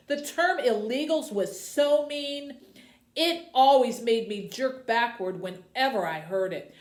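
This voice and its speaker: A woman librarian reading a children's novel aloud and hosting for young viewers, with an expressive storytelling delivery. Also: a little high. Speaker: librarian host. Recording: roomy, echoey indoor sound.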